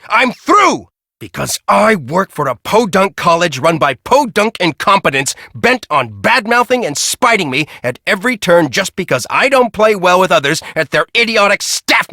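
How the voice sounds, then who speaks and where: A man's voice: sits high, pushed and bright, dry recording, clean studio sound, exaggerated voice. An actor playing a bitter, arrogant middle-aged male scientist in a video game, with a sardonic, condescending, theatrical way of talking. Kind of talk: video game character acting.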